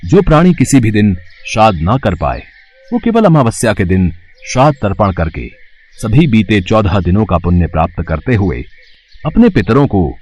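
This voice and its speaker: Husky, solemn ancestral spirit played by a man. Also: fairly low pitch, big pitch swings, normal speed, a little mellow. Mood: solemn, granting. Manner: formal, solemn pronouncements. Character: solemn, stern yet benevolent divine ancestral father spirit. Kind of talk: dramatised devotional storybook cartoon.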